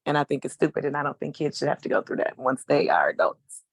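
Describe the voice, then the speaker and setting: Gravelly man in his thirties, frank. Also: a little high, animated, fast talker, dry recording. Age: 30s. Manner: frank, casual, slangy, hesitant fillers. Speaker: panelist. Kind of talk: personal testimony on a panel.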